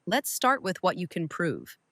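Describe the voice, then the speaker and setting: A woman playing a shrewd, composed older widow and mentor in an audio drama, speaking with deliberate authority. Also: on the low side, wildly swooping pitch, fairly bright tone, no echo, studio quality, heavily acted. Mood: probing, grave.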